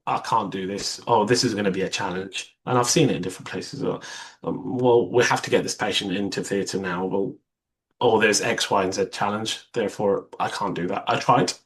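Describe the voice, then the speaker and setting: A man's voice: fairly low pitch, fairly even intonation, normal speed, rough recording. A young man whose first language is Arabic, a surgeon being interviewed, speaking in a reflective way with many restarts and quoted asides. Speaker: vascular surgeon, interview guest.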